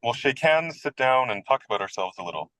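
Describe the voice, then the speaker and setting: Man acting a bright-voiced, wry young writer. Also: mid-range voice, lively intonation, fairly quick, no echo, low-quality recording. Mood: playful, courteous. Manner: wry, wordy, theatrical. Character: self-deprecating, whimsical American male writer of twenty-six. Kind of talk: radio play comedy dialogue.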